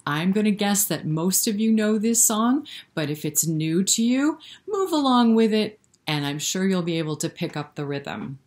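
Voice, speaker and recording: A woman's voice: mid-range voice, lively intonation, normal speed, few pauses, darkish tone. A woman hosting a story time for young children, speaking directly to them with questions and encouragement. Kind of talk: interactive read-aloud for young children, with singing.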